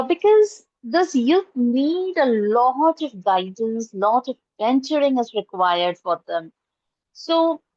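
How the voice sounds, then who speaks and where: A middle-aged Indian woman with a fairly high voice and an Indian accent talks earnestly, full of fillers and restarts, as an interview guest. Her first language is Urdu. The video-call audio is dry and somewhat dull. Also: no echo. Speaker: guest, college professor and trainer. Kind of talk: casual interview answers. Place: indoors at home, curtain behind.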